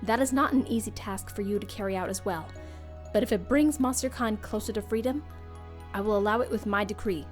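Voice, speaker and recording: A woman's voice: medium pitch, fairly quick, lightly acted. A woman playing a resolute, regal monster queen in a comic dub, speaking formally and solemnly. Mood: solemn, understanding. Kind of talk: dramatic comic dub acting. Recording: music behind voice.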